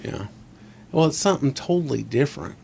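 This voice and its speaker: A man's voice: medium pitch, animated, brisk, vocal fry, darkish tone. Podcast host, male, scratchy voice, close-miked, opinionated and rambling. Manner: opinionated, rambling, asks leading questions. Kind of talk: casual chat. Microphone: close desk mic on a boom arm.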